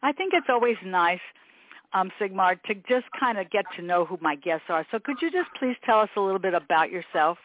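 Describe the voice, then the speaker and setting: A woman's voice: medium pitch, lively intonation, a little fast, phone-quality sound, a little hiss or hum. Chatty woman podcast host, phone-thin sound. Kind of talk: interview with scripted intro.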